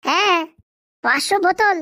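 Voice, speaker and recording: A performer with a high voice playing a cheeky, brash schoolboy in a Bengali comedy cartoon, with a colloquial Bengali accent. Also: animated, moderate pace, sharp tone, no echo, clean studio sound, exaggerated voice. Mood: brash, excited.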